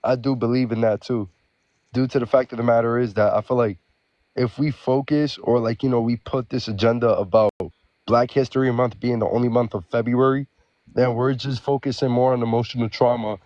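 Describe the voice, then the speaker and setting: A man's voice: mid-range voice, steady tone, a little fast, darkish tone, dry recording. A man from the Bronx, talking in a hesitant, agreeable way with many fillers in a casual podcast discussion. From the Bronx, New York. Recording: dull, dry lo-fi sound.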